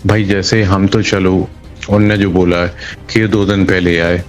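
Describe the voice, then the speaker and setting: A man's voice: sits low, level tone, a little slow, soft background music. A man answering an interviewer in a colloquial way, often repeating phrases for emphasis. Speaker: local political party member being interviewed.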